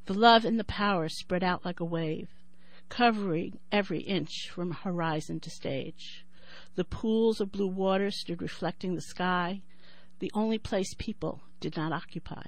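Older New York woman, low dry voice. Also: no room sound. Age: 60s. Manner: thoughtful, many "um" pauses, reads verse in a measured rhythm. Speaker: poet and writer. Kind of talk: interview and poetry reading.

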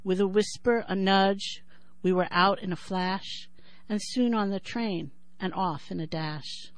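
A woman in her sixties with a New York accent who talks thoughtfully with many pauses and then reads her poem in a measured rhythm. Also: mid-range voice, steady tone, no room sound. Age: 60s. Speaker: poet and writer. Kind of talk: interview and poetry reading.

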